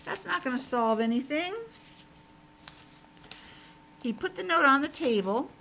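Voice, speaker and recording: Woman teacher, higher pitch, children's read-aloud, simple explanations, conversational asides, noisy recording. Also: expressive, measured pace, fairly bright tone. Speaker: teacher reading to young children. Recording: clearly noisy background.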